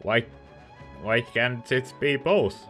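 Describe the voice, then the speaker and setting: A man's voice: medium pitch, slow pace. A man doing a let's play, reacting casually to a video game as he goes. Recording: faint game music behind voice.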